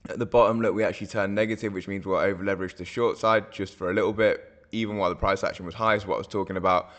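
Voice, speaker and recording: A hoarse man, walking viewers through market charts in a casual, rambling way, full of fillers and self-corrections. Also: fairly low pitch, steady tone, very fast, fairly bright tone, muffled recording. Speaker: crypto market analyst host.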